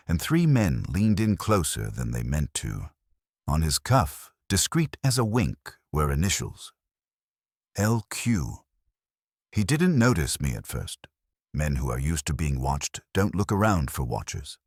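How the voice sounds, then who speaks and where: A man with a very deep voice narrates scripted detective fiction. His delivery is wry and hardboiled, moving through a lively range of pitch, and the sound is dry and close. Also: no echo. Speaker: audiobook narrator.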